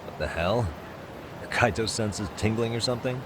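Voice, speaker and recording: An actor playing a cool, level-headed male private detective in a video game, measured and dry. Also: fairly low pitch, noisy background, lightly acted. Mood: calm, dry. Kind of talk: English video game voice acting.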